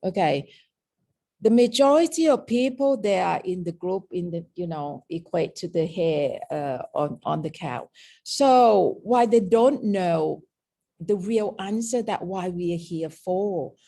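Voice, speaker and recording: Gravelly woman with Thai-British accent. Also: on the low side, lively intonation, measured pace, warm tone. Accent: Thai and British mix. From Thailand. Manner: explaining with frequent "okay?" checks. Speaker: meditation teacher. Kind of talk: lecture on meditation.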